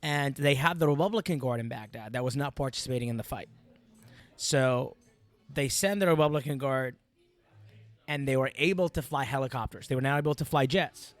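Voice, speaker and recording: A man's voice: mid-range voice, normal speed, pauses often, no room sound, soft background music. Iraqi-accented man telling his story, dry sound. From Iraq. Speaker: interview guest, military veteran. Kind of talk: personal storytelling.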